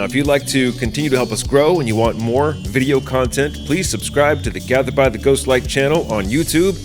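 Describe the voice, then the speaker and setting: Male podcast host over music. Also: medium pitch. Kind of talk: podcast hosting and sign-off.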